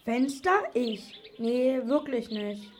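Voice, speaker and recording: A woman's voice: a little high, slow, dark tone, a little hiss or hum. A female performer playing a shifty male gardener in an amateur radio play for children. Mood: dismissive. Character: shifty male gardener who turns thief.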